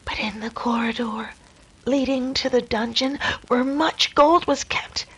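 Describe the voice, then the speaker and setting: Woman acting as earnest young lady in 1930s radio play, high voice, bit breathy and husky, dramatic. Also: measured pace, a bit bright, exaggerated voice, rough recording. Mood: earnest, hushed. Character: nervous, polite young lady, reenacting her defiant ancestress.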